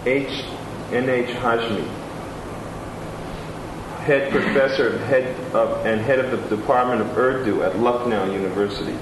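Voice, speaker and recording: A man's voice: medium pitch, fairly even intonation, unhurried. A man reading letters and reviews aloud, plain and attentive, adding his own asides. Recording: roomy room with clear background noise.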